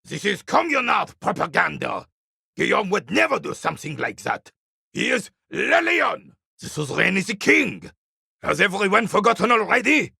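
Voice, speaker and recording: An actor playing a bitter, proud royalist war veteran in his eighties in a video game, with a rasping, weathered voice and a haughty, formal military way of talking. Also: sits high, big pitch swings, moderate pace, sharp tone, no room sound, clean studio sound, heavily acted. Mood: indignant. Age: 80s. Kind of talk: video game character dialogue.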